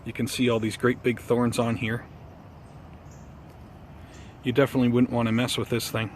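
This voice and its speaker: Male gardening vlogger, mid-pitched, casual and unhurried, slightly hesitant, recorded outdoors with faint ambient noise. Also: completely flat delivery. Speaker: host of a bonsai gardening vlog. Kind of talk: casual vlog chat to viewers.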